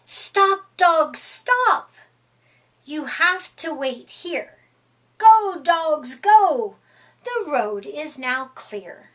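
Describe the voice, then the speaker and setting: Animated, high-pitched woman reading a children's story. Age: middle-aged. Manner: deliberate, emphatic, theatrical delivery for young children. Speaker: storytime reader. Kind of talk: expressive children's picture book read-aloud. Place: small furnished room indoors.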